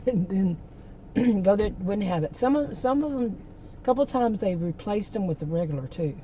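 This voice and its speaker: A middle-aged woman, hoarse from losing her voice, rambling and venting in a casual vlog from a parked car. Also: medium pitch, expressive, moderate pace, dark tone, a little hiss or hum, rough recording. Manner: rambling, venting, with many restarts. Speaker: vlogger.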